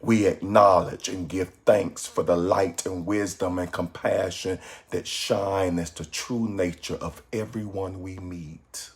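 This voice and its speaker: A man leading a guided meditation from a script in a calm, measured, soothing way with pauses. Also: low-pitched.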